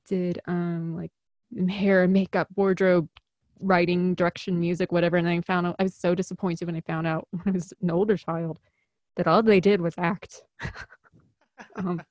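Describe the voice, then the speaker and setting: A woman's voice: fairly low pitch, a little mellow, no room sound. A woman with a Chicago accent, a guest actor talking in a chatty, giggly, self-deprecating way. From US Midwest. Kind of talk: casual podcast interview.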